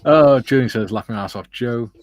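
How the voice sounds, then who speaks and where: A man's voice: medium pitch, normal speed, no echo. A man hosting a casual stream, reading viewer comments aloud and teasing his co-hosts in a jokey way. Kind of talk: casual chat.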